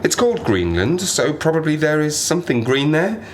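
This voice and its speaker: Man acting a wry, rambling stranded survivor, animated. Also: fairly high pitch, moderate pace, noisy background. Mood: wistful, rambling. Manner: rambling, self-mocking, sardonic, talks to himself. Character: stranded plane-crash survivor, wry, lonely, rambling, half-mad. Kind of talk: audio drama monologue, diary-like.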